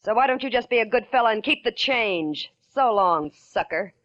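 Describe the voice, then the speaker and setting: An American actress playing a cool, scheming femme fatale in a 1940s radio drama, brisk and hard-edged. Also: female voice, a little high, lively intonation, a bit bright. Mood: cold, dismissive. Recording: dull vintage radio recording.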